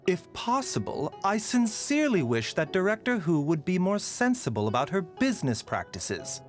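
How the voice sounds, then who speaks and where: An actor playing a polite, gently wry and weary pharmacist in a video game, with measured, mildly teasing phrasing. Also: male voice, sits high, wildly swooping pitch. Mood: calm, thoughtful. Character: soft-spoken, courteous male pharmacist, gently wry and weary. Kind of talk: video game character voicelines. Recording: voice over background music.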